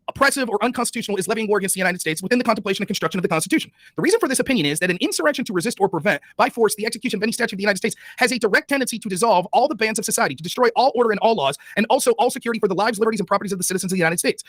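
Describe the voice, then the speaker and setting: Very high-pitched, rapid-talking man debating. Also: fairly bright tone, dry recording. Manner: insistent, reads legal text quickly. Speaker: debate participant, show host. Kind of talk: online debate, reading court text aloud.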